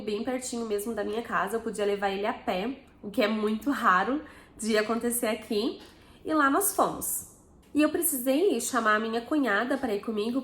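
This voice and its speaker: A woman's voice: mid-range voice, echoey room. A Brazilian woman in her thirties with a Brazilian Portuguese accent, telling a personal story to camera in a chatty, casual way, often ending with little tag questions. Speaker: vlogger.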